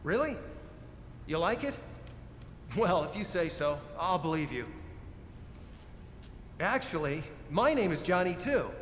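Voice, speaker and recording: An actor playing a friendly, lonely Soviet prison guard in a cinematic game, earnest, hesitant and chatty. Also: male voice, high-pitched, very animated, a little slow, long pauses. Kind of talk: cinematic video game acting. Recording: echoey reverberant cell, faint noise.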